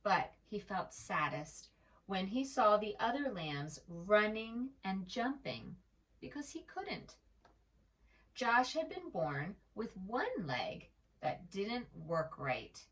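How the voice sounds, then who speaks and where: A woman reads a picture book to small children with a gentle touch and lots of melodic movement. She takes her time over each phrase and speaks to the young listener directly. The microphone sits a little way off. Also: medium pitch, slow, recorded from a distance, rough recording. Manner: expressive, gentle, asks the listener questions. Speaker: children's storyteller. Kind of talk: storybook read-aloud for preschoolers.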